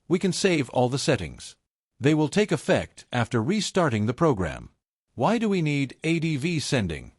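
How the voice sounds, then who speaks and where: A man reading a scripted technical tutorial step by step in a measured, methodical way. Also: mid-range voice, a little mellow, dry recording, clean studio sound. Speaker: tutorial presenter.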